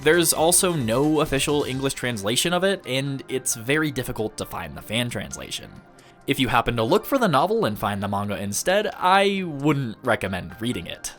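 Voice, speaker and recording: A man's voice: mid-range voice, big pitch swings, moderate pace, a bit bright, faint music behind. A man presenting a video essay as the host, speaking to viewers in a review style. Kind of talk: scripted review narration with occasional casual asides.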